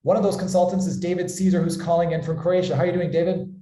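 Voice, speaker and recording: An American man presents an online webinar in an enthusiastic way. His voice sits a little high with a soft, mellow tone. The room around him adds a clear echo. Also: little pitch movement, quick pace. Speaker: company founder hosting a webinar. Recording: roomy, echoey sound.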